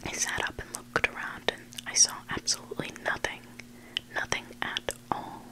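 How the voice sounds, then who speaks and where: An ASMR host whispering scary stories in a hushed, unvoiced voice, close to the microphone. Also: normal speed, poor audio. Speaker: ASMR host reading listener-submitted stories. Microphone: close microphone made for headphone listening.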